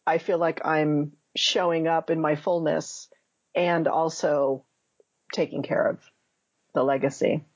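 A woman's voice: low-pitched, steady tone, takes it slowly, slightly rough, dry recording, muffled recording. A woman in her fifties, a memoir author answering interview questions thoughtfully, searching for words and restarting often. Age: 50s.

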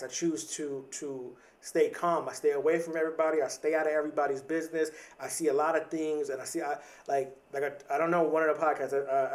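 Reflective man in his thirties, slightly distant. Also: on the high side. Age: 30s. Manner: thoughtful, repeats and restarts words, casual slang. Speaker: podcaster. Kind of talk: reflective casual monologue.

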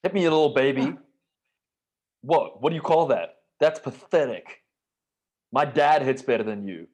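A man's voice: mid-range voice, lively intonation, moderate pace, darkish tone, husky. A man playing a scornful acting-class partner who goads and taunts in improvised comedy. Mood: scornful, taunting.

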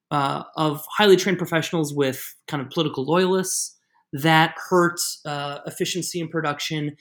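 A man's voice: a little high, vocal fry. A man who is the guest on an interview podcast, explaining at length with many restarts. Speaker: guest expert.

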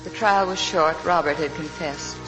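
An actress playing a wounded, weary young wife of a convicted killer, in 1940s radio drama style. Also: female voice, low-pitched, normal speed, natural voice, rough recording. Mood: sorrowful recollection. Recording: vintage radio broadcast, music underneath.

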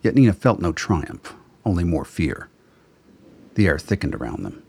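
A man with a husky voice reading scripted narration for an audio drama as its narrator. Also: low voice, sing-song, normal speed, a little mellow.

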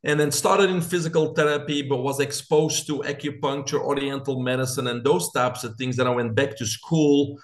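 Picture a middle-aged man with a Belgian accent, answering an interviewer with earnest conviction. He builds his points through lists, pushing each idea forward like a motivational coach. His voice sits at an ordinary middle pitch. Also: steady tone, normal speed, few pauses. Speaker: guest, coach and biohacker. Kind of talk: interview storytelling.

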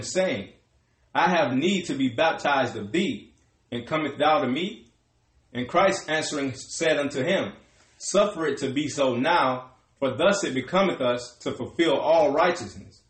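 A man's voice: mid-range voice, fairly bright tone. Male scripture reader, echoey room. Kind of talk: reading Bible verses aloud.

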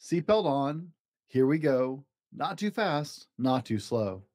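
A man's voice: medium pitch, sing-song, takes it slowly, darkish tone. A man voicing a cheerful, careful storybook toad who loves driving, in a rhythmic sing-song. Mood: cheerful, eager. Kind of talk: children's storybook read-aloud.

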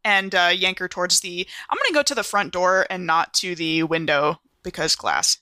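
A woman with a bright, crisp-edged voice plays a tabletop roleplaying game. She chats casually about her moves, recorded close and dry. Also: fairly low pitch, normal speed, dry recording.

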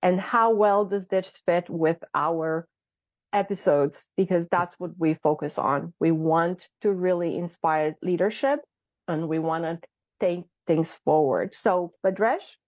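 A woman hosting a podcast interview whose first language is not English, encouraging her guest and pausing with "um.". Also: lowish voice, darkish tone, dry recording, highs cut off. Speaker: podcast host.

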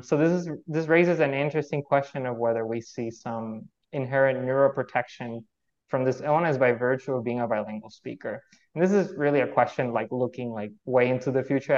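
A man's voice: medium pitch, fairly even intonation, fairly quick, darkish tone, highs cut off. A man from Puerto Rico whose first language is Spanish, a guest researcher explaining things thoughtfully, with frequent fillers and restarts. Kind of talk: casual explanatory interview.

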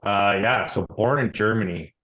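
A man's voice: fairly low pitch, normal speed, highs cut off. A man, a company founder talking casually as an interview guest, with a loose, hesitant manner. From Canada, Alberta. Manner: relaxed, many "um"s, trails off with "and stuff".

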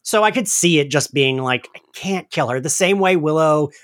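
A man's voice: on the high side, lively intonation, normal speed, a bit bright, no room sound, clean studio sound. A man hosting a podcast in a casual, chatty and emphatic conversational way. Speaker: podcast host. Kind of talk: casual discussion.

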